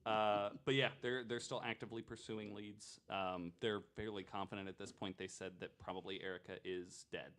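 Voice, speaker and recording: A man with a somewhat low voice hosts a casual podcast. He speaks conversationally in piecemeal, hesitant phrases and drops in dry asides. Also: little pitch movement, moderate pace, few pauses, fairly bright tone. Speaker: podcast host.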